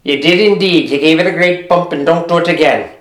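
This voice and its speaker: A storyteller voicing an elderly mother in a wheelchair, high-pitched and fussy. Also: fairly quick, lightly acted. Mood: indignant. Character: frail old Irish mother in a wheelchair. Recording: roomy echo.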